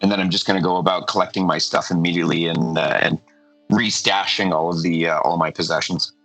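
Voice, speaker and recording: A man with a low, bright voice plays a tabletop game, describing his character's moves in halting bursts full of fillers. The recording is dry and close, with faint music underneath. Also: fairly even intonation, dry recording, low-quality recording. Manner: halting, filler-heavy, thinking aloud. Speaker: player.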